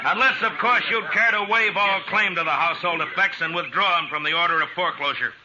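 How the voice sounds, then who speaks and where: An American man playing a steady, wry frontier lawman in a 1950s radio western, plain-spoken and deliberate. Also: high-pitched, close to an everyday voice. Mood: dry, deliberate. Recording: muffled, noisy old radio broadcast.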